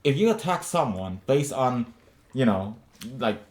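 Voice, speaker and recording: A man's voice: medium pitch, very animated, unhurried. A man co-hosting a casual podcast discussion, rambling with many restarts and fillers. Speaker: podcast co-host.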